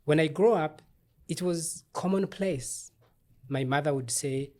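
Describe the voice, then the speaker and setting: A middle-aged man from Uganda, an obstetrician-gynecologist, telling his life story in an interview in a thoughtful, measured way with small restarts. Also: fairly high pitch, a little mellow.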